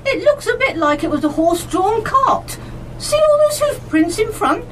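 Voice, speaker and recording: A woman's voice: very high voice, very animated, normal speed. A woman playing a helpful, curious, inquisitive friend in an amateur radio play. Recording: noisy live amateur radio broadcast recording.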